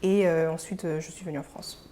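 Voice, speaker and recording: A young woman from Senegal, an interview guest, talking casually and pausing mid-thought. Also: fairly low pitch, steady tone, mellow. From Senegal, of Burkinabe descent.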